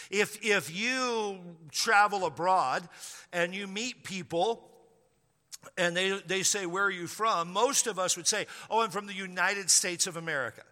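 A man's voice: sits high, pushed and bright. A man preaching a sermon as pastor of his church, driving points home with emphatic repetition. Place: church sanctuary. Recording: long reverb of a hall.

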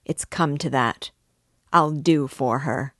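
A woman voicing a brutal, drunken young peasant man who shouts boastful, goading commands in an audiobook. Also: low-pitched, slow pace, no echo, clean studio sound. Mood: furious. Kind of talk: audiobook character voice.

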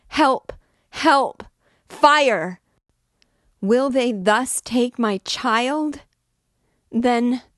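A woman's voice: a little high, dry recording, studio quality, voice pushed up. A woman voicing a wild, grieving recluse mother who shrieks and pleads desperately for her daughter.

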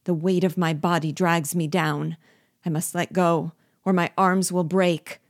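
A woman's voice: low voice, fairly even intonation, a little slow, darkish tone, no room sound, studio quality. A woman voicing a spiteful male dwarf jester in an audiobook, menacing at first and then begging frantically for his life. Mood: pleading. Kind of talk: audiobook reading.

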